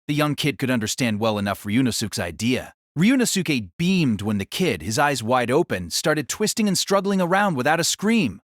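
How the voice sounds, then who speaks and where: A man's voice: a little high, a bit bright, no echo, clean studio sound. A man narrating a scripted story in a dramatic audiobook style.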